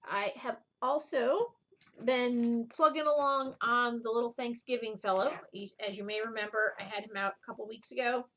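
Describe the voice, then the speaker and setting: A woman's voice: fairly high pitch, fairly even intonation, moderate pace, fairly bright tone, slight background noise. A middle-aged woman chatting casually as the host of a needlework video, rambling and drifting off on tangents. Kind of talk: casual chat, show-and-tell vlog.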